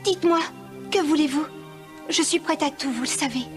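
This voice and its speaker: A woman voicing a young, lovestruck mermaid girl in a dubbed animated fairy tale, earnest and pleading. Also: sits high, moderate pace, lightly acted. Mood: determined. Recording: music behind.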